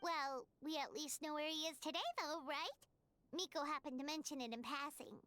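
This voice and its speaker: Paimon, a chirpy little companion creature. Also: female voice, normal speed, slight background noise. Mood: curious. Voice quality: high, bright and bubbly. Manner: excitable, refers to herself by name. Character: tiny chirpy floating female companion creature. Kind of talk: game voice acting.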